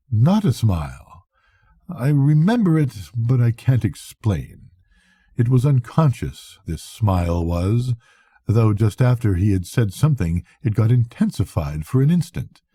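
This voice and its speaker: Dark, husky older man reading a story. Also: medium pitch, no echo, clean studio sound. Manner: measured, reflective first-person narration. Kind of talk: expressive bedtime audiobook reading of a classic novel.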